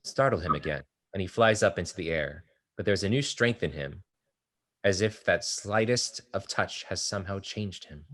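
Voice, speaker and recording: A man's voice: low voice, lively intonation, moderate pace, a bit bright. A man reading stage directions aloud in a plain, matter-of-fact way during a play table read.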